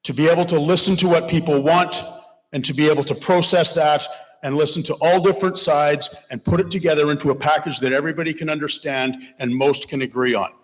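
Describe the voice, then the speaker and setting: A man's voice: a little high, fairly even intonation, fast talker. A man running as an independent candidate, answering an audience question passionately and plainly. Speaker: election candidate. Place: church hall. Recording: long reverb of a hall.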